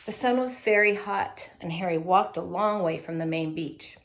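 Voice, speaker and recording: A woman's voice: fairly low pitch, moderate pace, slight background noise. Woman reading a picture book aloud expressively. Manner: animated, with asides to young listeners.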